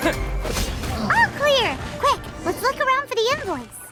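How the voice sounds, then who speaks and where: A perky little fairy girl from a video game. The voice is childlike, squeaky and extremely high. It swoops about bluntly and excitably over loud music. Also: slow pace, heavily acted. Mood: excited. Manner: perky, exclaiming. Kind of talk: video game character acting.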